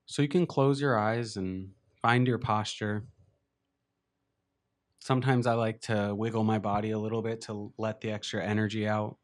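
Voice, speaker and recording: A man with a lowish voice guides a meditation. He speaks as a teacher giving simple instructions, gently and without hurry. Long pauses sit between his phrases. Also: fairly even intonation.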